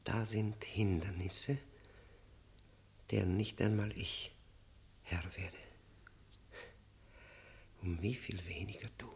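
Haunted man, low creaky voice, halting, brooding, emphatic outbursts dropping to near whispers, radio play. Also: fairly even intonation, slight background noise. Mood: anguished, hushed. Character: haunted, agitated man confessing his past bondage to another. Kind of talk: German radio drama acting.

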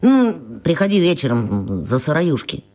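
A sullen schoolboy groans and mumbles through his words in a children's radio drama. His delivery is slow and awkward, with pitch that lurches up and down. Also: very animated, a little mellow. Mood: reluctant, flustered. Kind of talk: radio play acting for children.

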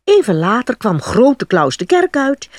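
A female storyteller reads a scripted children's fairy tale. Her voice has a husky edge and moves animatedly up and down, captured close and dry, with a dramatic read-aloud delivery. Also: on the high side, big pitch swings, a little slow, no echo.

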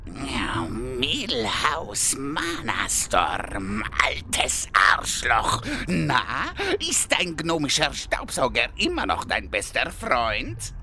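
Man playing a cocky, creaky-voiced gamer troublemaker. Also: mid-range voice, very animated, extremely slow, few pauses, bright, forceful tone, slight background noise, full character voice. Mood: scornful. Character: cocky, short-tempered male online-game adventurer, flippant troublemaker. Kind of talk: comedy audio play dialogue.

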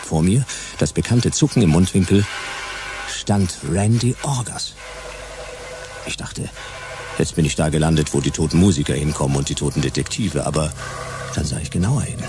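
An actor playing a laconic, wry private detective, narrating a science-fiction radio drama in deadpan noir style. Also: male voice, rumbling low, normal speed, pauses often, noisy background. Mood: dry narration.

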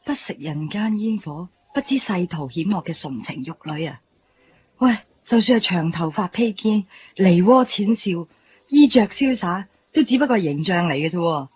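A woman's voice: fairly low pitch, expressive, normal speed, dull audio, faint music behind, lightly acted. An actress playing an outspoken, playful young woman in a Cantonese radio drama, chatty and chiding, with a Hong Kong accent. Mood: indignant, chiding.

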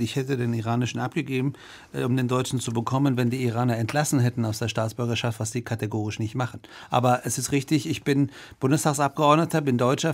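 A man from Iran in his forties, a member of parliament answering in a radio interview. He talks in an animated way, full of restarts and fillers. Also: mid-range voice.

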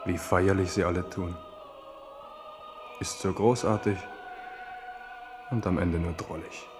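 Radio play king: low-voiced, wry and inward, musing intimately over prominent music. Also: steady tone, slow. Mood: wry amusement. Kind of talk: German radio drama acting. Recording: music behind the voice.

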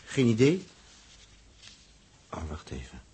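An actor playing a determined, bossy, curious space traveller who talks colloquially and insistently, in the manner of 1960s radio drama. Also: male voice, fairly low pitch, huge pitch swings, slow pace, mellow, reverberant, in a large hall, heavily acted. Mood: curious, cautious. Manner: colloquial, interjecting, urgent, repeating words. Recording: noisy old 1960s radio recording.

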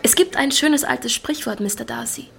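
Spirited, higher-voiced young gentlewoman. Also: normal speed, vocal fry, exaggerated voice. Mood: teasing. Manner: playful, teasing, self-possessed. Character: spirited, witty young gentlewoman with a teasing streak. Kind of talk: German radio drama acting. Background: music.

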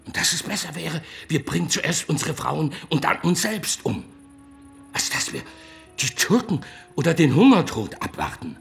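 An actor playing a desperate villager who argues in an urgent, impassioned way in a radio play. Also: male voice, a little high, big pitch swings, moderate pace, vocal fry, soft background music. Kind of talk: German radio play acting.

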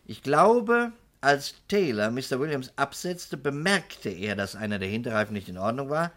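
Shrewd, insistent radio investigator. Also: male voice, medium pitch, sing-song, normal speed, a bit bright. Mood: assured, explaining. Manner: methodical, politely insistent questioning. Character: shrewd, composed male insurance investigator pressing for answers. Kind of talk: 1960s German radio crime drama acting.

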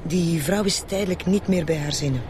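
A brisk, assertive Flemish forensic doctor in a radio play, acted by a woman. She speaks in a matter-of-fact, confident way, with clear outdoor noise around her. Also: fairly low pitch, close to an everyday voice. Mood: businesslike. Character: brisk, confident female police pathologist. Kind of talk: radio crime drama acting.

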